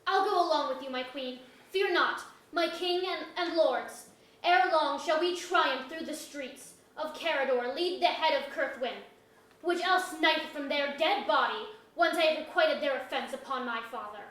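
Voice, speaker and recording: A woman plays a vengeful young warrior noble in a very high voice. The old-fashioned verse is declaimed on stage, with strong echo from the hall. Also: moderate pace, a bit bright. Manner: declamatory archaic verse delivery. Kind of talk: staged reading of mock-Shakespearean verse drama. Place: indoor auditorium stage. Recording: very echoey auditorium.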